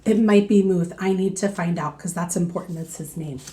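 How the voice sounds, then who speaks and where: A woman teacher reading a storybook aloud to children in an expressive manner. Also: on the low side, a little hiss or hum. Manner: slow, expressive, addressing young listeners.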